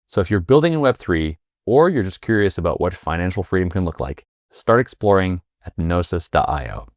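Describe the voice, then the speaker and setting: A man hosting a podcast interview, asking questions in a halting way with many fillers and restarts. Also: low voice, expressive, a little mellow, dry recording, studio quality. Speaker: podcast host. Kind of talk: casual interview with read ad intro.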